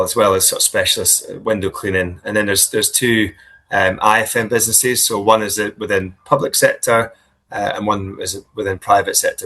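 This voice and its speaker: A Scottish man in his thirties speaks in a casual interview, his voice bright and a little low. He talks in a friendly, unhurried way, often restarting phrases and filling pauses. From Scotland. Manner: friendly, hesitant, many restarts and fillers. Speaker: guest, business leader.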